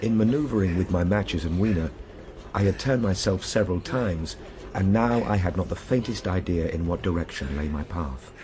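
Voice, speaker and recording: Actor as a very deep-voiced, grave time traveler. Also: male voice, moderate pace, background noise, close to an everyday voice. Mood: grave, tense. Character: male time traveler recounting his ordeal, grave and weary. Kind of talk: dramatic first-person radio drama narration. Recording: radio drama over loud background ambience.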